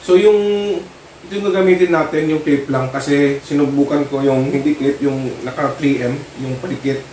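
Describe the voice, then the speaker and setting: A Filipino man giving a casual hands-on tutorial, talking informally in short, halting phrases as he works. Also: mid-range voice, fairly even intonation, darkish tone. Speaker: vlogger demonstrating product installation. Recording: roomy, distant mic with clear noise.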